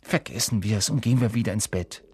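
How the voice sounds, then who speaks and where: A man voicing a blunt young schoolboy in a storybook reading, a loyal best friend who grumbles and gets excited quickly. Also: fairly low pitch, breathy edge, dry recording. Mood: weary, grumbling.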